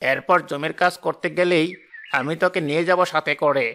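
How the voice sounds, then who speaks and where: Man voicing a bright-voiced sly village schemer. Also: a little high, fairly even intonation, brisk. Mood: falsely friendly. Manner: falsely reassuring, gloating. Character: scheming, two-faced village farmer man, greedy and sly. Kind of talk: animated moral story cartoon dubbing.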